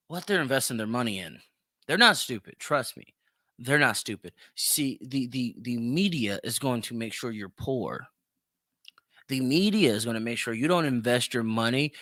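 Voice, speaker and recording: A man's voice: medium pitch, sharp tone, no room sound, studio quality. A man giving opinionated solo commentary as a podcast host, emphatic and repeating phrases for stress.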